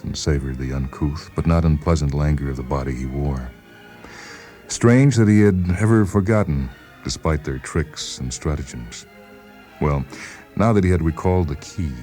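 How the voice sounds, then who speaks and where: Very deep male story narrator. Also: dark tone. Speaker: narrator, short story reader. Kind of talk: dramatic scripted literary narration.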